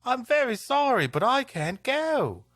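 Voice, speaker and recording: A man's voice: very high voice, big pitch swings, a little slow, a bit bright, no echo, clean studio sound, pitched above the performer's own voice, heavily acted. A man voicing an earnest, loyal English schoolboy, speaking stoutly. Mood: apologetic.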